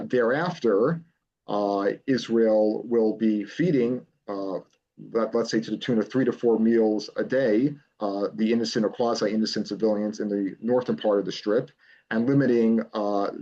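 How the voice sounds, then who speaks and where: Guy w/ low husky voice, lots of uh pauses, dull lo-fi sound. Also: dark tone. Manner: measured, frequent "uh" pauses. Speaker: political consultant guest. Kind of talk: remote interview, explaining a plan.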